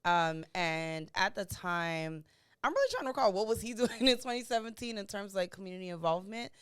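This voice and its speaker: A woman of about 30 from Miami, a podcast guest talking about her life in a chatty, animated way and laughing often. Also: medium pitch, a little fast, clean tone, dry recording, clean studio sound. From Miami, USA. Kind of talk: casual interview chat.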